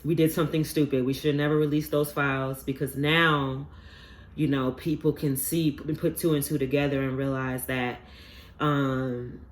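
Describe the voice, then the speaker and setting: Man, stuffy nose, tarot reader, rambling, lots of ums, faint background hiss, mid pitch. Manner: rambling, filler-heavy, earnest. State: stuffy from a sinus infection. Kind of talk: casual collective tarot reading.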